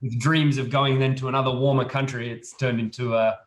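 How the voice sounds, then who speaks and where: A man's voice: mid-range voice, level tone, brisk. A young man from Australia with an Australian accent, chatting casually as a guest researcher in a wry, joking way. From Australia, born in Serbia. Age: young adult. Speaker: guest, PhD student researcher. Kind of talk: casual chat. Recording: roomy, echoing voice pickup.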